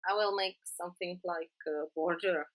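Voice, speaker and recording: A woman with a Czech accent, a non-native English speaker, narrating a card-making tutorial in a halting way with many pauses. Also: fairly low pitch, steady tone. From Czech Republic. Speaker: crafting tutorial host.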